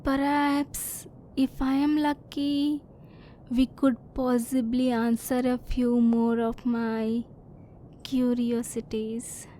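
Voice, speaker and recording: A woman playing a curious, reserved alien scientist in an ASMR roleplay, speaking formally and precisely with measured restraint. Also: a little high, little pitch movement, mellow, a little hiss or hum. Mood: quietly hopeful.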